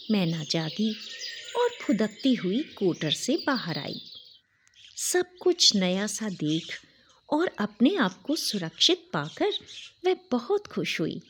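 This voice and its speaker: Expressive, slow woman storyteller, lively pitch. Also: a little hiss or hum. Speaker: storyteller and podcast host. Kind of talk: expressive scripted children's folk tale narration. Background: music behind the voice.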